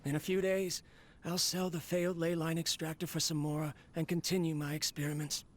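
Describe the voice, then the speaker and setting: A man's voice: fairly high pitch, flat delivery, a little fast, lightly acted. An actor playing a lonely, weary male researcher in a video game, speaking in a wistful, resigned monologue.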